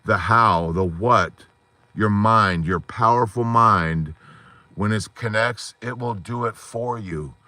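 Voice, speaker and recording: Man, lowish hoarse voice, forceful edge, fervent rambling pep talk, dry close phone sound in a car. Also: takes it slowly, no echo. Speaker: support group host. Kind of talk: motivational live stream talk. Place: inside a parked car at night.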